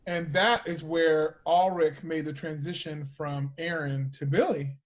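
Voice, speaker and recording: A man with a notably high-pitched voice hosts an informal chat. He speaks emphatically and teasingly, often turning to address his listeners directly. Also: fairly even intonation, a little slow. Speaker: host.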